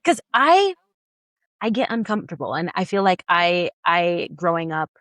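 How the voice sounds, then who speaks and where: A woman's voice: on the low side, sing-song, moderate pace, fairly bright tone, no room sound. An American woman hosting a casual, conversational interview in an informal way, with the odd chuckle. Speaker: interview host.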